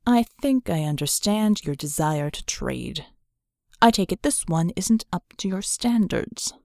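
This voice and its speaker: A woman's voice: fairly low pitch, lively intonation, unhurried, vocal fry, warm tone, dry recording, clean studio sound. A woman voicing a cool, condescending male mercenary in an audiobook, businesslike and disdainful. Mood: weary disdain.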